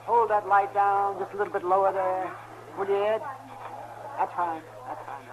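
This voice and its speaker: A man's voice: high-pitched, steady tone, normal speed, close to an everyday voice. A man playing a crusty, matter-of-fact frontier town doctor in an old radio western, blunt and folksy in his talk. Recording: dull, faintly noisy old radio recording.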